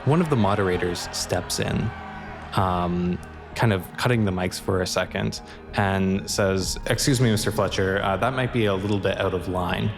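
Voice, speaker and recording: A man's voice: deep voice, normal speed, over music. A man improvising narration for a roleplaying game, setting out scenes as the narrator.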